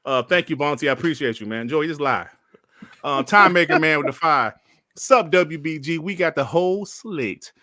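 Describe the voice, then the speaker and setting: Man from Detroit, casual podcast host, fairly high voice, dry close sound, laughs a lot. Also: moderate pace, dry recording. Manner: animated, laughing, reads chat messages aloud. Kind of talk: casual livestream podcast chat.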